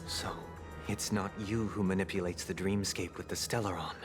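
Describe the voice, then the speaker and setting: A man's voice: sits low, steady tone, normal speed, close to an everyday voice. An actor playing a grandiose, anguished man with godlike ambitions, declaiming theatrically in a video game. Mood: troubled. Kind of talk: dramatic video game voice acting. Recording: game cutscene with loud music.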